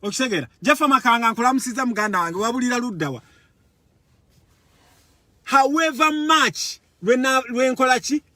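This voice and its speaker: A Ugandan man speaks with a strikingly high, forceful voice. He delivers an impassioned political monologue, repeating words for emphasis and moving between languages. The sound is dry and close. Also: expressive, fairly quick, long pauses, dry recording. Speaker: political commentator. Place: Europe.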